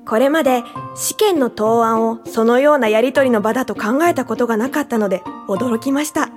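An actress playing an earnest young university student in a radio drama, polite and eager, full of respect and admiration. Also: female voice, on the high side, fairly quick, soft background music, close to an everyday voice. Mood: fond, admiring. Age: young adult. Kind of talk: radio drama acting and reminiscing narration.

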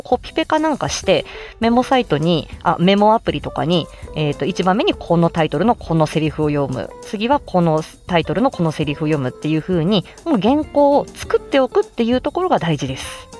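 A woman's voice: medium pitch, darkish tone. A woman giving a casual, polite explanation on a livestream, chatty and encouraging, speaking into a smartphone. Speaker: amateur voice actor explaining how to make voice samples. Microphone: smartphone, close.

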